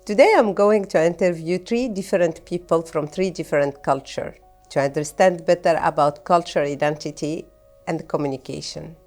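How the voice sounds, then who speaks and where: A woman's voice: sits low, moderate pace, a little mellow. A woman hosting an interview, asking simple, friendly questions in plain, slightly learner English. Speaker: host interviewer. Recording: music clearly behind.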